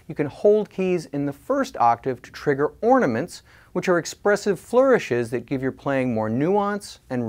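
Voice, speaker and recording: Lively-pitched male product demo narrator. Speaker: product reviewer. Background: music and instrument demos.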